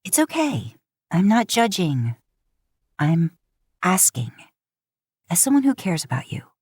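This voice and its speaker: A woman reader plays a caring middle-aged foster mother with a slightly dry edge. She talks in a gently probing way, with lively pitch movement, on a dry, close recording of a story. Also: slow, husky, no echo, full character voice. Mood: gentle concern. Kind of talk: fan fiction audio story reading.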